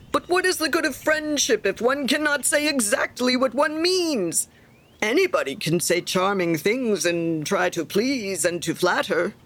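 Woman acting a pompous, preachy storybook miller, emphatically lilting. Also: mid-range voice. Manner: emphatic, sanctimonious lecturing. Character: pompous, self-satisfied, preachy male miller. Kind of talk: storybook character reading.

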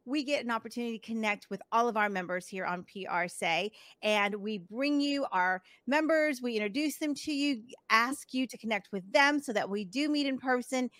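A woman hosting a professional association podcast, upbeat and effusive, warmly affirming her guest. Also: a little high, normal speed, few pauses, no room sound. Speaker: podcast host. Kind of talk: enthusiastic podcast interview.

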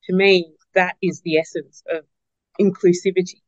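A woman's voice: on the low side, steady tone, normal speed, no echo, dull audio. A woman answering as a guest on a podcast, reflective, often repeating words as she starts a point and asking herself questions. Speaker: business partner and podcast guest. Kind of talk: interview answers.

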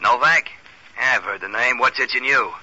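An American actor playing a brusque, suspicious underworld tough in 1940s radio noir, curt and impatient. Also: male voice, medium pitch, moderate pace, bright, forceful tone. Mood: irritated, suspicious. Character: brusque, irritable city tough guy running bookie joints. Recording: dull old radio recording.

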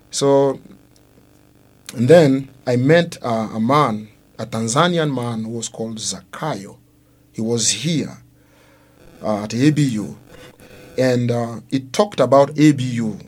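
A man with a Congolese accent and a husky voice at a middling pitch. A native Luo speaker, he tells his own story as an interview guest, building it in halting, careful phrases. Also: darkish tone. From Democratic Republic of Congo. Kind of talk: personal testimony in an interview.

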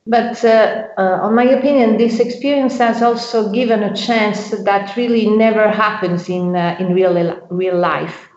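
A woman working as a bank's culture director answers interview questions hesitantly, often pausing to find her words. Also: medium pitch, fairly even intonation, a little mellow. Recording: roomy, dull lo-fi sound.

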